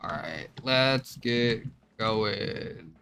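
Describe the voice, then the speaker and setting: A man's voice: on the low side, lively intonation, very slow, gravelly, sharp tone. A man giving casual, self-deprecating commentary as he plays a video game. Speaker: gaming channel host. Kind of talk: casual let's play commentary. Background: faint music.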